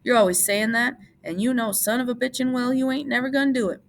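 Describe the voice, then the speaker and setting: Woman doing a scolding old aunt, stern, nagging, raised pitch, gravelly. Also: expressive, a little fast, fairly bright tone, exaggerated voice. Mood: sharp.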